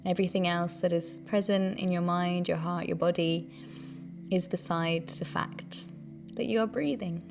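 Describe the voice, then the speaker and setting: Low-pitched woman guiding a calm meditation. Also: creaky, over music. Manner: calm, soothing, unhurried phrasing. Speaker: breathing and meditation guide. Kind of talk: guided meditation, slow gentle instruction.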